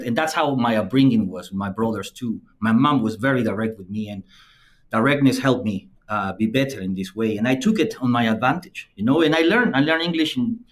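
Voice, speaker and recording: A middle-aged man from Peru with a Peruvian Spanish accent, a native Spanish speaker, telling personal stories as a podcast guest. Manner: lively anecdotal telling, acts out quoted friends in a higher voice. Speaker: podcast guest, company CEO. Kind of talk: conversational storytelling interview.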